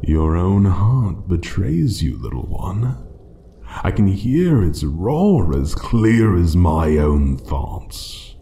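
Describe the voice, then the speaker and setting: A man playing an ancient, haughty dragon who taunts with slow, deliberate menace in a fantasy ASMR roleplay. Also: deep voice, big pitch swings, dark tone, exaggerated voice. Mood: predatory taunting. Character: ancient menacing male dragon guarding its hoard.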